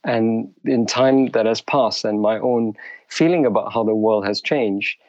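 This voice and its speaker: A middle-aged man from Malaysia recounts his upbringing as an interview guest, measured and reflective, pausing to restart his sentences. The recording is dry and a little muffled, with no room sound. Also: on the low side, darkish tone, no room sound. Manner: measured, thoughtful, with frequent restarts.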